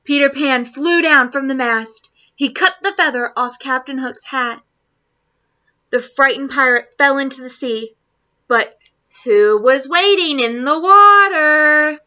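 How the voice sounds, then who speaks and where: A woman teacher reading a children's storybook aloud to students, expressively and deliberately. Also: high-pitched, sharp tone, lo-fi sound. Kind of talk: children's storybook read-aloud in Spanish and English.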